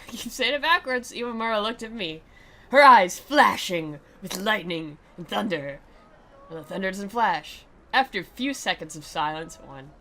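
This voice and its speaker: A woman's voice: mid-range voice, pushed and bright, a little hiss or hum. A woman giving sarcastic, playful commentary as she reads a visual novel aloud in a dramatic style. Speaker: game commentator and reader. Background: faint music.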